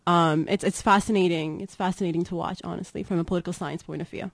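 A woman's voice: on the low side, steady tone, quick pace, vocal fry, dry recording. A woman from Turkey with a Turkish accent, answering as an expert guest in an informal, conversational way. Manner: informal, frequent "like" and "kind of". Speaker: guest expert, political science professor.

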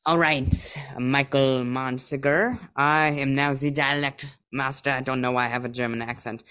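A man's voice: medium pitch, fairly even intonation, moderate pace, bright, forceful tone, clear, resonant tone. A man hosting a casual interview in a playful, joking way. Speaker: interview host.

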